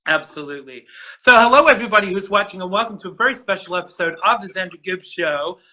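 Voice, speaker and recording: A man's voice: sits high, animated, quick pace, pushed and bright. A middle-aged man hosting a live interview show, chatty and effusive, tripping over his words with enthusiasm. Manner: effusive, chatty, lots of fillers and restarts, fan enthusiasm. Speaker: show host. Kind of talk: casual livestream interview.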